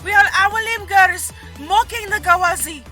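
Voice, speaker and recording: A woman voicing a bold, protective young woman in an audio drama, urgent and forceful in manner. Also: very high voice, normal speed, no echo, faint music behind, voice pushed up. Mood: fierce, threatening.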